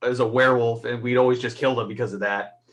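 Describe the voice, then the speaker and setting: A man's voice: mid-range voice, fairly even intonation, fast talker. A man chatting casually as co-host of a film review, rambling as he retells scenes.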